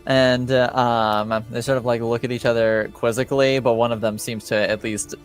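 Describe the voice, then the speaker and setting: A man running a tabletop game as game master, narrating in a relaxed, hesitant way with plenty of ums. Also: mid-range voice, normal speed, faint music behind.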